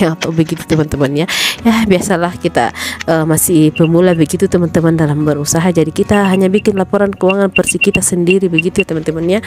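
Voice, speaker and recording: Chatty low-voiced rural Indonesian woman. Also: darkish tone. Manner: chatty, many fillers, addresses viewers as friends. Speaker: small shop owner running a banking agent. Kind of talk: casual vlog narration.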